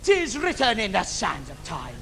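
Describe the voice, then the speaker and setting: A man's voice: high-pitched, huge pitch swings, moderate pace, sharp tone, faint music behind, full character voice. A man playing a scheming, camp old villain in an adult pantomime, theatrical and declamatory, on a theatre stage. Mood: excited, demanding. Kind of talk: adult pantomime stage acting with rhyming verse and innuendo. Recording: noisy live theatre stage recording.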